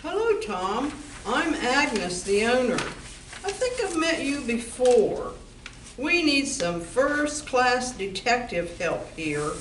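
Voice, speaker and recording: A tough woman bar owner, played by an amateur actress in a radio play. Her voice is fairly high and very unhurried, with strong room echo. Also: sing-song. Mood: matter-of-fact. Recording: roomy, distant mic, faint noise.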